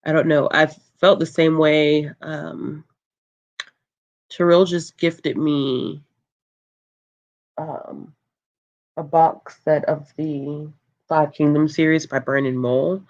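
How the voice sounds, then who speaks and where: A book channel host chatting casually on a livestream, reading out viewer comments in a calm, low voice, relaxed and laughing often with lots of ums. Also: slow, long pauses, dull audio.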